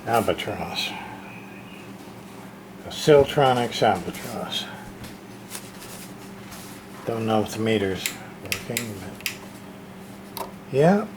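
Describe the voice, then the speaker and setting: A man's voice: on the low side, lively intonation, very slow, pauses often, noisy background. A man casually narrating a hands-on unboxing as a radio repair hobbyist, talking to himself in folksy short fragments.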